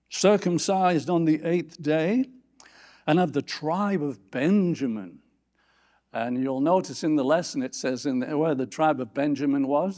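A man preaching a sermon from a church platform, lifting his voice for scripture quotes and dropping low for quiet asides. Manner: animated, rising pitch on scripture quotes. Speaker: pastor.

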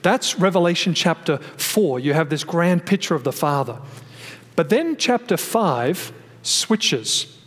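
A man's voice: on the high side, animated, measured pace. A man preaching a sermon from his notes, explaining scripture in a teaching manner with frequent restarts, his voice carried by a microphone and speakers. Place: church hall with a sound system. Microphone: microphone through a PA system. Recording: long reverb of a hall.

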